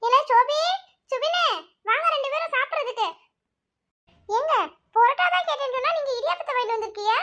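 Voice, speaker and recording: A woman's voice: extremely high pitch, fast talker, pauses often, a bit bright. A woman voicing a practical mother who scolds and nags her children. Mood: explaining. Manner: scolding, nagging.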